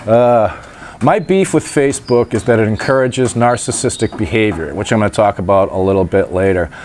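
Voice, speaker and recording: A man's voice: fairly low pitch, fairly quick, a little mellow, a little hiss or hum. A man vlogging outdoors in casual talk, a little nasal from a stuffed-up nose, with chatty, wry asides. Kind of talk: casual vlog talk to camera. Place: outdoors in sunshine by a gate.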